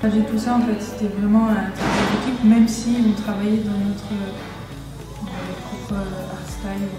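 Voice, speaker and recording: A woman's voice: mid-range voice, little pitch movement, normal speed. A young woman giving an unscripted interview answer in a casual way. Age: young adult. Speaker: student comic creator. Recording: echoey room, distant mic, music behind.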